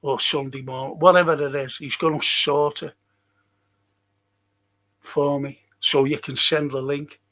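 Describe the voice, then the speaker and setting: Man, Liverpool accent, livestream host, slow delivery, rambling, repeats himself. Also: a little high, flat delivery, pushed and bright. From England. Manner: rambling, repeats phrases for emphasis. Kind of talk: casual livestream commentary reading viewer comments.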